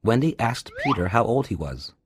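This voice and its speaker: A man's voice: medium pitch, very animated, normal speed, a little hiss or hum. A man narrating a scripted children's storybook tale. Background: music.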